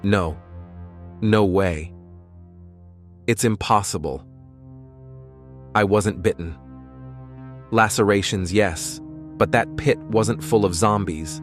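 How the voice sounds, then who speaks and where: A man voicing an anxious, self-doubting survivor muttering to himself in an audio comic. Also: fairly low pitch. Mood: anxious denial. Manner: brooding, halting, self-talk. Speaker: voice actor. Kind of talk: audio comic dub, dramatic monologue. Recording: clear music behind the voice.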